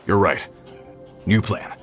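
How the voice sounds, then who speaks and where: An actor playing a concerned, decisive leader of mine workers in a video game, earnest and practical. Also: male voice, sharp tone.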